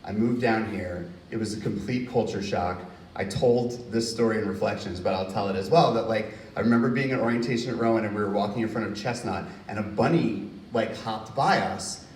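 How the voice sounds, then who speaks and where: Animated storytelling man in a roomy hall. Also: fairly low pitch, fairly quick, slight background noise. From Hudson County, New Jersey. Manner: lively, laughing anecdotes with acted-out quotes. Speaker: author at a reading. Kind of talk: casual storytelling in a Q&A. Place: university reading event hall. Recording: roomy, distant, faint ambience.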